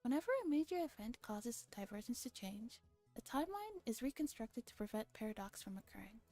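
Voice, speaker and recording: A woman's voice: on the high side, big pitch swings, brisk, warm tone, soft background music. A woman voicing a condescending, self-assured internet theorist in a visual novel, with a lecturing manner. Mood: patient condescension.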